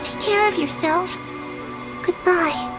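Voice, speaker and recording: A woman playing a gentle, earnest and curious young girl with a soft, girlish voice in an anime video game. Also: very high-pitched, slow. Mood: wistful, moved. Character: gentle young girl, a shadow who never ages. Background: game music.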